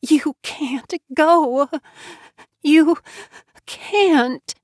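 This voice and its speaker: A woman's voice: high voice, very slow, darkish tone, no room sound, clean studio sound, voice pushed up. A woman voicing a sobbing woman pleading desperately. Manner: pleading, tearful.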